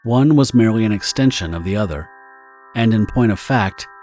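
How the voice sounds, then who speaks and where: A man narrating a scripted dramatic story in a measured, theatrical storytelling manner. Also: on the low side, lively intonation, a little mellow. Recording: music under the narration.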